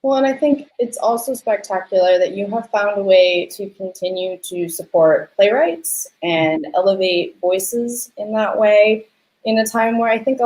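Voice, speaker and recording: A woman hosting an interview, talking in an animated, affirming and conversational way. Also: mid-range voice. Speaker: interview host. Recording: roomy, echoey sound.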